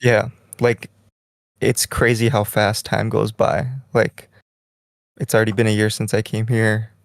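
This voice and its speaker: A young man co-hosting a podcast in casual chat, rambling in a relaxed way and saying "like" constantly, lying in bed in a small bedroom. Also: lowish voice, unhurried, vocal fry, no echo, studio quality. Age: young adult. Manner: relaxed, rambling, heavy use of "like". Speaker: podcast co-host.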